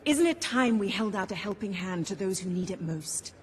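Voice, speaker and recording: An actress voicing an earnest, principled champion of the poor in a video game, formal, impassioned and pleading. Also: female voice, mid-range voice, animated, moderate pace, slight background noise, natural voice. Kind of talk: scripted video game dialogue.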